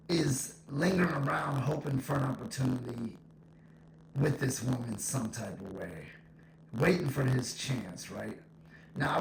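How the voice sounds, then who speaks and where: A man speaks in a very creaky, gravelly voice at an ordinary male pitch. He gives his opinions casually, as a vlogger does, circling back to repeat points for emphasis. An echo effect hangs on the voice, over faint background noise. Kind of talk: casual opinion monologue to camera.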